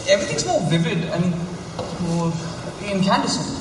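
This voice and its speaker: A man's voice: sits high, big pitch swings, normal speed. An actor playing a reserved, pensive writer, a man musing in a stage play. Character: Mark, reserved, pensive male writer and teacher. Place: stage in a reverberant hall. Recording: echoey hall, distant mic, noisy.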